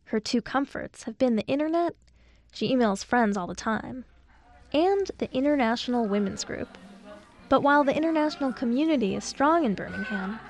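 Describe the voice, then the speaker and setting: A woman radio reporter narrating a scripted news feature in a measured, clear delivery. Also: a little high, expressive.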